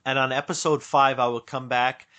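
A man's voice: medium pitch, level tone, moderate pace, sharp tone. A man co-hosting a casual podcast, slightly stuffed up, rambling and self-deprecating with frequent restarts. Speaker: podcast co-host.

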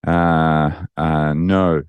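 A man with a Birmingham accent, a guest coach in a casual podcast interview, talking thoughtfully with frequent pauses and fillers. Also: very deep voice, flat delivery, smooth, no echo, muffled recording. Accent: Birmingham English. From England. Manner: thoughtful, many fillers and restarts. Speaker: guest, nutrition and training coach.

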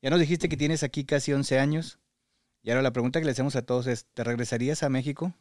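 A man with a Mexican Spanish accent speaks as a podcast host in a conversational interview style. His voice sits at a medium pitch, and the sound is dry and close. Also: steady tone, fast, a little mellow, dry recording.

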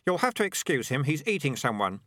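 An innocent, plain-spoken storybook boy, done by a male reader. He is mild and matter-of-fact, pitched up and lilting, with no room sound. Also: big pitch swings, a little fast, a bit bright, dry recording, studio quality.

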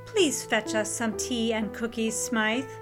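A poised older matriarch of a wealthy family, in a period noir drama. She speaks very slowly in a fairly high voice, composed and commanding, with clear music behind her. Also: steady tone. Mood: poised, appraising. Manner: refined, formal, composed. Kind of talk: 1930s audio drama acting.

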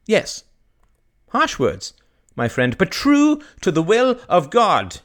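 A zealous village priest thunders from the pulpit in a raised, animated voice, hammering out rhetorical questions. A man performs him while reading a story aloud. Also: sits high, takes it slowly, pitched above the performer's own voice, exaggerated voice. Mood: fervent preaching. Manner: impassioned, sermonizing, rhetorical questions. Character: fiery preaching village priest, male, middle-aged.

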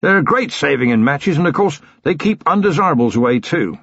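A man's voice: fairly high pitch, lively intonation, fairly quick, a bit bright, husky, dry recording, pitched above the performer's own voice, heavily acted. Man doing a bluff, hearty old colonel. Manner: hearty, rambling, cheerful. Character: bluff elderly male retired cavalry colonel. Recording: dry, slightly dull recording.

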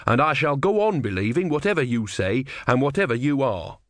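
Man doing storybook young novice, raised pitch, timid, earnest, devout, hesitant. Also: lively intonation, fairly quick, no room sound, clean studio sound, natural voice.

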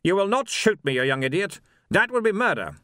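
A haughty army captain barks clipped orders in a raised, high-pitched voice, put on by a male audiobook narrator. He sounds stiff-necked and sure of his own authority. The recording is dry and close, with no room sound. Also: very animated, brisk, sharp tone, dry recording, full character voice. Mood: cold. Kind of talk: audiobook character reading.

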